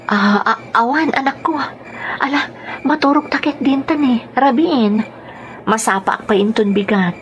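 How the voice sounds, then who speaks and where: A woman's voice: a little high, unhurried, muffled recording, natural voice. Actress as weary young mother, earnest, emotional, protective, radio drama, faint background noise. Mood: guarded.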